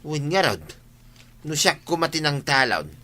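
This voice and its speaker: A man's voice: fairly high pitch, sing-song, a little slow, sharp tone, full character voice. A man playing a village husband in a comedy radio drama, with an Ilocano accent. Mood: plainspoken.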